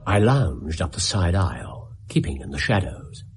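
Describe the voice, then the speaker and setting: An actor playing a brilliant Victorian detective in a radio drama, with an English accent and precise, dramatic storytelling. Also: male voice, low voice, sing-song, normal speed, a little hiss or hum. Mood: absorbed, dramatic. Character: brilliant theatrical male detective in Victorian London.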